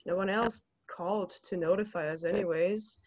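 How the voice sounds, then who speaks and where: A man's voice: high voice, fairly even intonation, normal speed, no room sound. Young man acting a polite operator, dull call sound. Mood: polite apologetic. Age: young adult. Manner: courteous, hesitant, formal. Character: polite, apologetic, unhurried telephone operator. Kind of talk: stage-style dramatic acting. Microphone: computer video call, wearing headphones.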